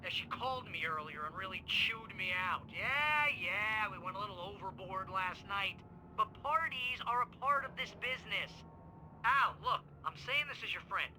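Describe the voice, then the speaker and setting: An over-familiar agent in a video game, wheedling his client. His voice is high, loud and piercingly bright. It plays back as an answering-machine message with some noise. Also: animated, normal speed, exaggerated voice. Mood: teasing. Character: pushy, chummy male agent and friend leaving a voicemail. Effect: telephone answering-machine playback. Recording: noisy answering-machine message, low rumble.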